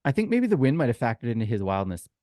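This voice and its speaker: A man's voice: mid-range voice, sing-song, very fast, dark tone, no echo, studio quality. A man co-hosting a casual sports podcast, telling anecdotes in a chatty, informal way and acting out exchanges. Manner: chatty, informal storyteller who acts out quoted exchanges. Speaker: podcast co-host and tour guide.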